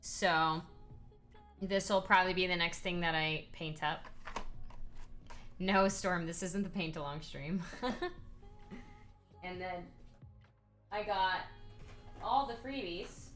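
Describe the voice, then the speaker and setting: A hoarse woman streaming herself painting miniatures, chatting casually with many ums and reading chat aloud. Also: fairly low pitch, slow pace, pauses often, a bit bright, faint music behind. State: hoarse, voice partly lost.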